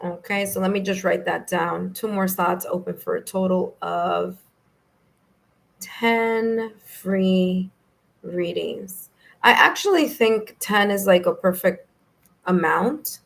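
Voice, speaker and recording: A woman with a New York accent and a slightly hoarse, raspy voice, hosting a live tarot stream in a friendly, chatty way. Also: on the low side, takes it slowly. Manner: friendly, chatty, laughs easily. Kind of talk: casual live-stream chat, greeting viewers.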